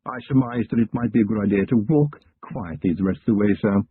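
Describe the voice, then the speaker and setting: A man's voice: lowish voice, quick pace, slight background noise, lightly acted. A man voicing a dry, formal male manservant who drives a coach, speaking wryly in an audiobook. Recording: tinny lo-fi narration recording.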